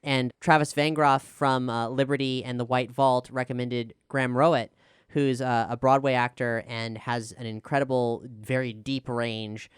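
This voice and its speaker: Clear, dry-sounding explainer voice, mid-pitched. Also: little pitch movement, moderate pace, no echo. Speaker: showrunner and writer of an audio drama. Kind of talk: casual panel Q&A, explaining production process.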